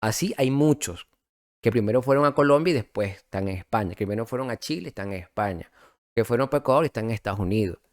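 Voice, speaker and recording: A middle-aged man with a Venezuelan accent, telling his story as a guest in a casual interview, relaxed and reflective, with many fillers and restarts. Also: on the low side, fast, no echo, clean studio sound.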